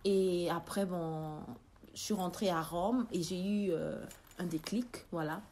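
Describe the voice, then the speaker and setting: A woman in her forties from Congo, a native Lingala speaker, tells her personal story haltingly as an interview guest. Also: on the low side, a little mellow, a little hiss or hum. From Congo-Brazzaville, then Italy from age twelve. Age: 40s. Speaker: interview guest, tea entrepreneur.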